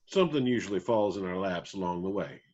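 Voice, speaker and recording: A man's voice: sits low, expressive, quick pace, a little mellow. Dull-sounding man acting an easygoing touring musician. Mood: laid-back. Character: easygoing touring country band member. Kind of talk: screenplay table read acting.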